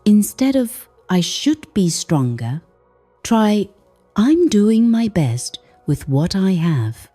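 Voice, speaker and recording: Slow, soft-spoken woman, dark breathy voice. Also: medium pitch, big pitch swings, no room sound, faint music behind. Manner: gentle, soothing, hushed delivery in short measured phrases. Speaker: sleep podcast narrator. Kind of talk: soft-spoken bedtime meditation, scripted narration.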